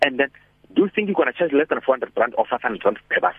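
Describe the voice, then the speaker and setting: Raspy man on phone. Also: medium pitch, fast, no room sound. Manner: animated, persuasive, asks rhetorical questions. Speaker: radio guest speaker. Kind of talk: motivational phone-in talk. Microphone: telephone. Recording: tinny dry phone line.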